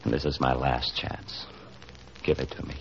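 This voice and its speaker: A desperate, manipulative killer uncle from a 1940s radio thriller. His voice is extremely deep, heard through a dull old recording with faint noise. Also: level tone, moderate pace, gravelly, fairly bright tone, exaggerated voice. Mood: desperate pleading.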